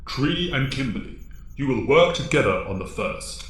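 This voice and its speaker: Man as stern alien mentor, audio drama, measured formal delivery, expressive pitch, heavy echo. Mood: grave, instructive.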